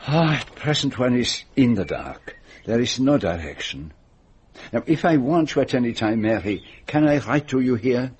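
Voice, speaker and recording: An actor playing a courteous, methodical detective in a radio drama, with a French-speaking Belgian accent and formal, probing speech. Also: male voice, mid-range voice, expressive, moderate pace, a little mellow, slightly rough. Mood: gently probing.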